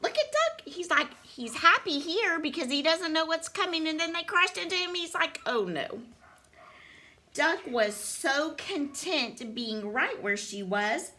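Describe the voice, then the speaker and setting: A woman reading a picture book aloud to young children, expressive, with dramatic pauses and chatty questions to the listeners. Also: high voice.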